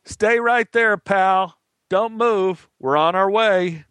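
An American man telling a story and voicing a breezy, wisecracking male patrol cop, folksy and deadpan. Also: sits high, normal speed, no room sound, studio quality, pitched above the performer's own voice. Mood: wary, commanding. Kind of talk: acted dialogue within a told story.

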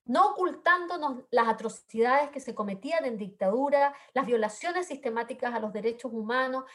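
A woman's voice: fairly high pitch, normal speed. A woman from southern Chile with a Chilean accent, speaking earnestly as a panelist, with frequent fillers and tag questions. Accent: Chilean Spanish. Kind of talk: panel discussion remarks.

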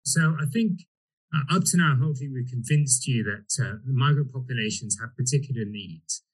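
Husky-voiced man giving a medical talk. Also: mid-range voice, poor audio. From Egypt. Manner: measured, explanatory, with frequent fillers. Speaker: doctor presenting. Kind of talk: medical presentation.

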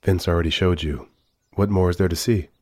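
Very deep, formal male android. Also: fairly even intonation, a little mellow. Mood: guarded, proud. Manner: formal, deliberate, articulate phrasing. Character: male humanlike AI android, measured, proud and wistful. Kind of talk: sci-fi audio drama dialogue and narration.

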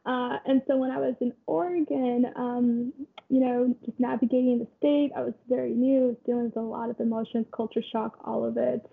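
A young woman with a high voice and a gravelly, husky edge talks through a thin, phone-like line. She tells her story loosely, full of fillers and small pauses. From Kenya. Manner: relaxed storytelling with frequent fillers and laughs. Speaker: guest, photographer and community founder. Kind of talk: conversational interview.

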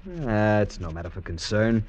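An actor playing a carefree, scornful young convict in love, with theatrical, emphatic delivery in an old radio drama. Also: male voice, fairly low pitch, sing-song, unhurried, a little mellow. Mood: dismissive, amused. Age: young adult. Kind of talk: 1940s radio drama acting.

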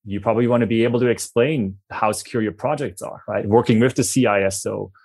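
A man's voice: fairly low pitch, fairly even intonation, quick pace, gravelly, darkish tone, husky. A man in his forties with a Swiss accent, speaking as an expert on a panel and stressing his points with a tag of "right?". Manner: emphatic, peppers points with "right?". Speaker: CIO and security expert. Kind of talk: panel discussion.

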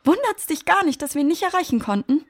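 A woman's voice: very high voice, sing-song, fast, full character voice. A woman playing a worried, resolute jungle station worker in a children's audio play, with an earnest, natural delivery. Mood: worried, insistent.

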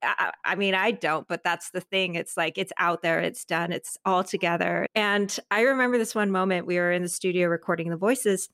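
A woman's voice: on the low side, steady tone, fairly quick, hardly any pauses, fairly bright tone, no echo, clean studio sound. A woman chatting casually as a podcast guest, gushing and informal, often saying "like" and "you know". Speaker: interview guest, audio drama creator and narrator. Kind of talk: casual chat in a podcast interview.

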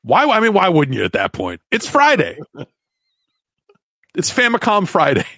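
A man's voice: high-pitched, huge pitch swings, moderate pace, pushed and bright, dry recording, studio quality. A man hosting a podcast in casual comic chat, riffing jokingly and swearing freely. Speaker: podcast host.

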